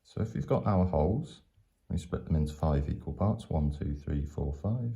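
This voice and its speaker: A man's voice: extremely low pitch, lively intonation, moderate pace, gravelly, warm tone. A man teaching maths step by step in a patient, explanatory way, going back over his points.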